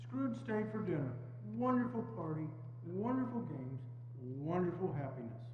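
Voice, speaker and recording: A woman's voice: lowish voice, lively intonation, moderate pace. Thin, echoey woman narrator. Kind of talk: dramatic storytelling read from a script. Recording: phone-like, echoey hall with hum.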